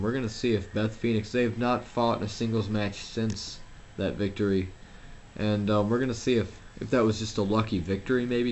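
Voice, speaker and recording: A man's voice: lowish voice, darkish tone, a little hiss or hum. A young man commentating a wrestling video game at home, rambling and self-deprecating, with lots of fillers and restarts. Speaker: gameplay commentator. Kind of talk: casual solo game commentary.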